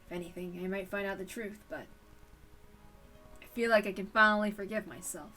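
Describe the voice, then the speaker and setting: A woman's voice: mid-range voice, steady tone, fairly quick, sharp tone, far from the mic, faint music behind, voice pushed down. A woman voicing a folksy, stubborn older male detective in a story read-aloud. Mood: resolute, brooding.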